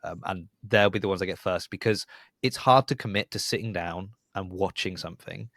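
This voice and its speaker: Low-voiced man hosting a casual podcast. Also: fairly quick, fairly bright tone, no echo, studio quality. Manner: rambling, wry, chatty. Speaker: podcast host.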